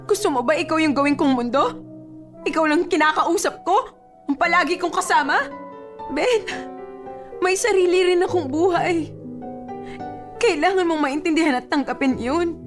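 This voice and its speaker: Very high-pitched, emotional girlfriend, weary and pleading, radio drama, music underneath. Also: normal speed, pauses often. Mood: hurt, fed up. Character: weary young girlfriend defending herself from a jealous boyfriend. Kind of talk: Filipino radio drama acting.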